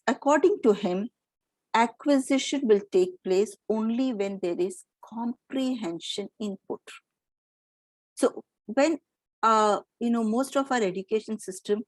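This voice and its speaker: A woman's voice: medium pitch, no echo, low-quality recording. A middle-aged Indian woman giving an explanatory lecture to teachers, with fillers and restarts. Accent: Indian English.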